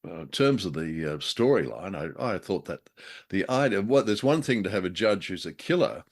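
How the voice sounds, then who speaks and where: A man's voice: sits low, expressive, normal speed. A man co-hosting a show in casual, bantering chat, with a wry, hesitant and understated way of talking. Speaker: co-host of a show reviewing old radio dramas.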